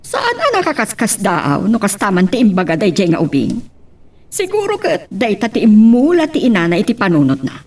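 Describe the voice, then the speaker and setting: A protective, scolding mother acted by a woman with a Filipino accent and very lively pitch. She is emphatic and bossy in a family audio drama, recorded a little off the microphone. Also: normal speed, far from the mic. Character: bossy, protective older mother of a grown son.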